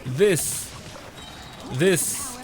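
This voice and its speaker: A man's voice: on the high side, drawn-out words, darkish tone. A young man giving casual gameplay commentary as a streamer, rambling, interrupting himself and swearing casually. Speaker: game streamer. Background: game music.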